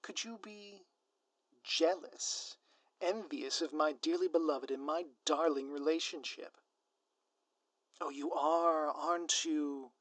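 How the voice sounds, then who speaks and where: A man's voice: fairly high pitch, slow pace, a bit bright, no room sound. A man playing a grandiose, scheming supervillain in an audio roleplay, with florid, pompous, melodramatic delivery. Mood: theatrical, taunting. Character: grandiose theatrical male supervillain, lovesick and scheming. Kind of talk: scripted audio roleplay monologue.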